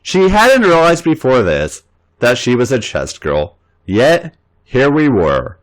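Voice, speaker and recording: A man's voice: mid-range voice, wildly swooping pitch, takes it slowly. A man reading erotic fan fiction aloud as a podcast host, playful and theatrical.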